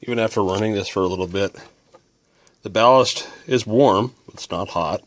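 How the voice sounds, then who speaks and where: Casual male hobbyist presenter with a lowish voice, talking through a hands-on repair as thoughts occur. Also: normal speed, no echo. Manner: musing, thinking aloud.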